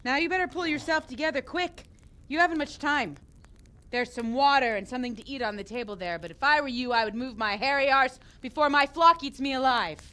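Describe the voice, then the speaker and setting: An actress playing a brash, sharp-tongued village woman in a video game, scolding and mocking. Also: sits high, a little fast. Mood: scornful. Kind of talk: video game cutscene acting.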